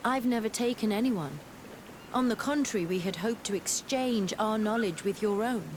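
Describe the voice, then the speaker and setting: Sardonic, guarded lady scholar for a fantasy game, female actor: mid register, formal wit, over clear ambient noise. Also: mid-range voice, normal speed, lightly acted. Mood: guarded, dry. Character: wry, guarded, self-assured female scholar. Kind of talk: video game cutscene acting.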